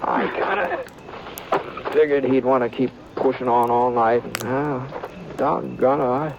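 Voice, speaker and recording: An American actor playing an easygoing frontier gunman who also narrates, in halting, folksy radio western acting. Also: medium pitch, fairly even intonation, creaky. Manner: halting, folksy drawl with many hesitations. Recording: noisy dull old radio broadcast.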